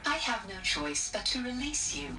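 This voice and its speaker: A gentle caretaker AI, distant and echoey. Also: female voice, a little high, normal speed. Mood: calm. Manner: soft, even and composed, clinical yet tender. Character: gentle female caretaker AI called Mother. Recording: distant echoey game audio over music.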